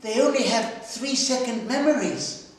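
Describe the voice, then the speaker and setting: A man's voice: very high voice, expressive, unhurried, pitched above the performer's own voice. A man voicing an eager, chatty, insistent little girl in a live story reading. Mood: proud. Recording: echoey hall, distant microphone.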